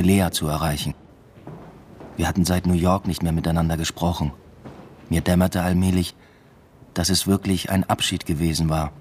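A man's voice: low voice, slight background noise, lightly acted. An actor playing an introspective academic who narrates his own story in a subdued, reflective way in a radio drama. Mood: subdued, wistful. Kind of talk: literary first-person radio drama narration.

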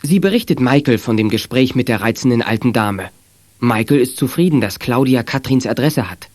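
A man's voice: mid-range voice, lively intonation, brisk, a little mellow. A man narrating a children's radio play in a scripted storytelling style.

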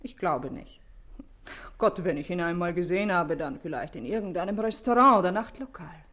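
Cool, ironic lower-voiced society woman. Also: lively intonation, normal speed, fairly bright tone. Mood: amused, evasive. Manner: ironic, evasive, haughty. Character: cool, ironic, self-assured society woman under questioning. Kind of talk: German radio drama acting.

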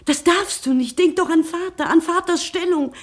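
A woman's voice: very high-pitched, normal speed. An actress playing a worried middle-aged mother in a radio drama, pleading anxiously with her son. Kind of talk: 1950s-style radio drama acting.

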